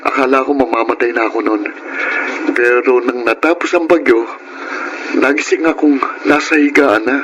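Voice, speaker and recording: An actor playing an earnest young grandson. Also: male voice, medium pitch, fairly even intonation, normal speed, natural voice. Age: young adult. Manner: earnest, soft-spoken storytelling. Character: devoted young man, gentle grateful grandson. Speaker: grandson who works in the city. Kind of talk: radio-style audio drama acting. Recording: noisy audio drama track.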